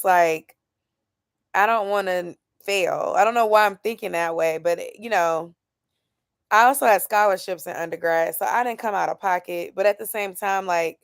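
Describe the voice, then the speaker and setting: Woman, late 20s, casual vlog check-in, lowish smooth voice, dry close sound. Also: no echo. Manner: candid, thinking aloud about plans, reads chat comments. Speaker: YouTuber doing a weekly check-in. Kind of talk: casual chat with a live chat.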